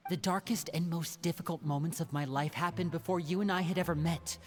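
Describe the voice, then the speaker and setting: An actor playing an earnest, protective young older brother in a video game, measured and sincere. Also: male voice, a little high, steady tone, natural voice. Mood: heartfelt.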